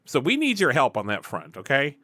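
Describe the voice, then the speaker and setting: A man hosts a tabletop roleplay session with a bright, forceful voice and lively swings in pitch. The sound is close and dry as he improvises casually. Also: brisk, dry recording. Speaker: host and game narrator. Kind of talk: casual improvised game narration and chat.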